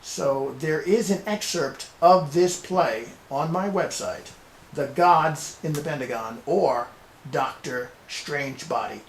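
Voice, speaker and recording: A man with a slightly high voice presents his creative work to camera. He speaks deliberately, in short separated phrases. The room is clearly echoey, with a faint hiss behind him. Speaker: playwright presenting his scripts. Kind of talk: semi-scripted video presentation. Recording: roomy echo with background hiss.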